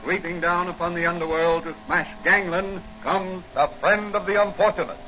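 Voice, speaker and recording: A man's voice: sits high, fairly even intonation, normal speed. A man announcing and narrating in a theatrical, declamatory 1940s radio drama style. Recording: muffled old 1940 radio recording, music behind.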